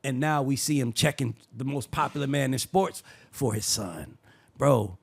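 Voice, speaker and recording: A man hosting a sports opinion show, arguing his case in a heated, preachy way and sometimes building to shouts. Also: mid-range voice, moderate pace, vocal fry, husky. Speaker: show host.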